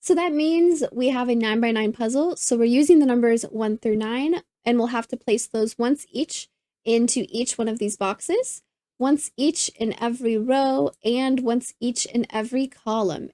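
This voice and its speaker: A slightly hoarse woman solves a puzzle out loud, talking herself through each step and perking up at every neat trick she finds. Her voice sits a bit high but sounds mellow and soft, and the recording is close and dry with no room sound. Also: moderate pace, no echo. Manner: thinks aloud, easily delighted. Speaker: puzzle channel host. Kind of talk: casual think-aloud puzzle solving.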